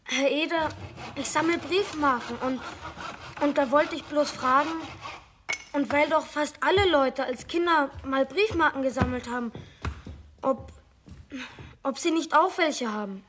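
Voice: sits high, a little slow, long pauses, noisy background. A young performer playing a polite, eager neighbour boy in a children's radio play. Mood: polite, hopeful.

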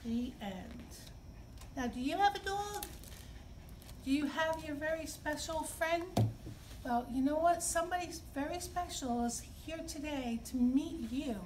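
High-pitched, slow-paced woman hosting children's story time. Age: middle-aged. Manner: simple, slow, expressive phrasing addressed to children. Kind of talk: read-aloud and talk for young children. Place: indoor library children's room. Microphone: camera a few feet away. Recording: distant camera mic, clear background noise.

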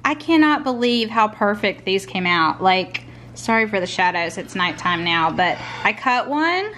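A woman's voice: mid-range voice, lively intonation, unhurried, sharp tone, slight background noise. A woman in her thirties chatting through a cook-along in her home kitchen, often calling the viewers y'all. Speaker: cooking vlogger. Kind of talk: casual cook-along narration.

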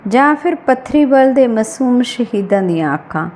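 A woman's voice: a little high, lively intonation, normal speed, a little mellow. A woman reading a scripted short story aloud as an audiobook narrator.

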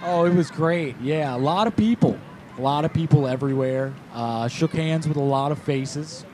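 A man's voice: fairly high pitch, normal speed, a little mellow, a little hiss or hum. A man in his thirties improvising a prank call as a chatty, rambling, folksy tourist into a close mic. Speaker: prank call show host. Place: small decorated indoor studio. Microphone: close studio mic on a boom arm.

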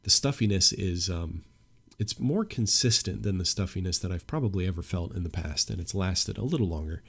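Young male podcast host, congested, low-pitched, earnest and opinionated. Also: lively intonation, a little fast, gravelly, a bit bright, husky. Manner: earnest, opinionated, grows emphatic. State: stuffy nose from a cold. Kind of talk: solo podcast monologue.

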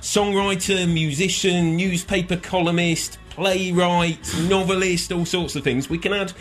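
A man reporting for radio, loud and upbeat as he interviews a guest. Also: high voice, steady tone, a little slow. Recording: faint music behind.

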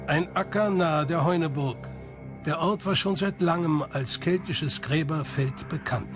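Documentary narrator, higher-pitched man. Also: unhurried. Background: music.

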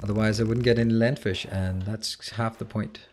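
A man's voice: lowish voice, steady tone, normal speed, a little mellow, slight background noise. A man from Denmark with a Danish accent, chatting casually on a game livestream and answering chat as he plays. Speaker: streamer.

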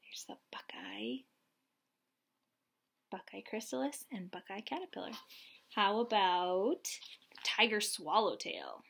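A young adult woman reading aloud and explaining gently, as a teacher does for young children. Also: medium pitch, animated, takes it slowly, vocal fry, long pauses. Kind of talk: children's read-aloud and lesson. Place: small lamp-lit room at home, indoors.

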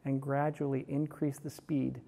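A middle-aged man, a music instructor, explaining in a measured way in a large classroom. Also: medium pitch, little pitch movement, creaky, mellow. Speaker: horn professor and instructor. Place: large hard-walled music classroom, indoors. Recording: echoey hall-like classroom reverb.

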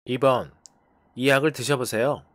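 Teacher voice, rapid delivery, dry studio sound, clear prompting. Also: mid-range voice, fairly even intonation, dry recording. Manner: brisk, clear prompting of numbered phrases. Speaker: English teacher. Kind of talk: language lesson instruction.

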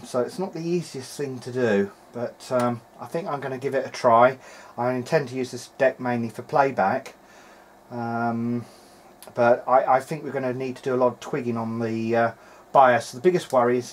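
A man's voice: medium pitch, fairly even intonation, normal speed. A middle-aged man talking through a repair in a hesitant, meandering, matter-of-fact way, as in a video diary. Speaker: electronics repair hobbyist. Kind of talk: video diary, thinking aloud while working. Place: indoor workbench.

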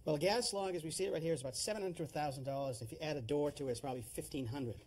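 Fast-talking middle-aged man explaining hands-on. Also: a little high, a little mellow, slight background noise. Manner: plain-spoken, instructive. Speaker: plumbing and heating expert on a home improvement show.

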